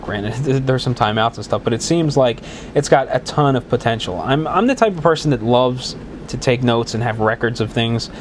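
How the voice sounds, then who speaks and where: A man's voice: medium pitch, expressive, moderate pace, hardly any pauses, slight background noise. A man with a head cold hosting his own podcast in a casual, rambling way, with many restarts and fillers. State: congested with a cold, voice slightly worn. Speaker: podcast host. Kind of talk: casual solo podcast talk.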